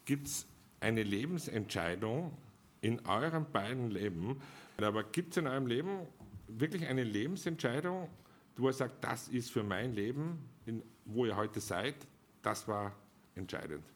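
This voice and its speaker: A man's voice: medium pitch, expressive, measured pace, a little hiss or hum. A man moderating an author evening, asking informal questions. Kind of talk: panel moderation.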